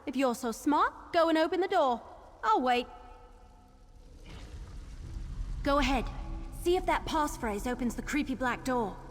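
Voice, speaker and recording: An impatient female investigator from a fantasy game. Her voice is high and very lively, with a teasing, sarcastic edge. It rings with long reverb. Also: normal speed, a little hiss or hum. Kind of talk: video game character dialogue. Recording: long hall reverb, music underneath.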